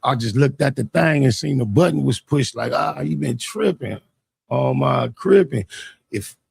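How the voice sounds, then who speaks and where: A man's voice: mid-range voice, vocal fry, breathy edge, no room sound. A man giving casual, slangy, unfiltered reaction commentary as a host, with some swearing. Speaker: host reacting to a narrated video.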